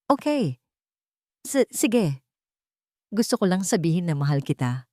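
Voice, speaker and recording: A woman voicing a sweet, soft-spoken, pleading wife in a Tagalog audio drama. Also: on the low side, very animated, unhurried, no room sound, studio quality. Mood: hurt.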